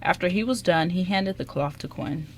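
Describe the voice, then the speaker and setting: A woman's voice: lowish voice, moderate pace, a little hiss or hum. A woman reading scripted narration for an amateur radio drama.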